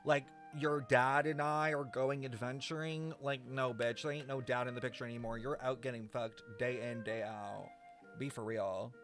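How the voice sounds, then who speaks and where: A man's voice: medium pitch, fairly even intonation, moderate pace, fairly bright tone. A young man livestreaming a game with casual, sarcastic, slangy and profane commentary over music.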